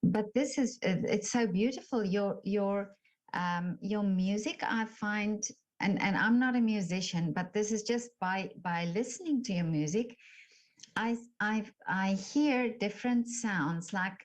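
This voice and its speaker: A woman's voice: mid-range voice, a little slow. A woman interviewing a guest over a video call, effusive and complimentary, with many fillers.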